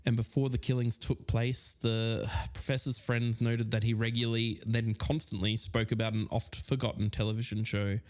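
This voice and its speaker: A man's voice: mid-range voice, little pitch movement, normal speed, few pauses, a little mellow. A man co-hosting a podcast, narrating accounts and reading out quotes. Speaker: podcast co-host.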